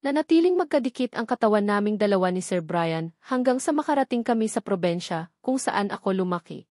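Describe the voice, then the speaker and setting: A woman's voice: medium pitch, lively intonation, fairly quick, darkish tone, no echo. Female audiobook narrator, first-person romance story, scripted reading, very dry close sound.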